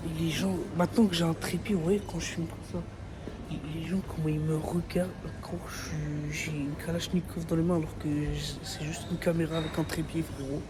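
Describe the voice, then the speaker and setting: A man's voice: fairly high pitch, level tone, a little fast, over music. Slangy young man vlogging a road trip. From born in Italy, raised in France. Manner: slangy, rambling, speaks to viewers directly. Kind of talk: casual travel vlog. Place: car and highway rest stop on a road trip.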